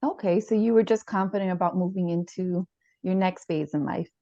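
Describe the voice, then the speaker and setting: An actress playing a therapist, asking measured, gentle questions. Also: female voice, fairly low pitch, no echo, dull audio, lightly acted. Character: calm, probing female therapist. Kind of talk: improvised dramatized therapy session.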